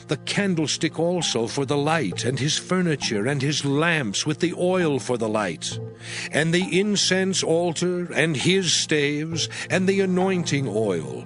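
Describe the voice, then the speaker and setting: A man playing a solemn, commanding biblical lawgiver in a dramatized scripture reading, declaiming in a measured way. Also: on the high side, fairly bright tone. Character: solemn commanding male biblical voice giving divine law. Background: music.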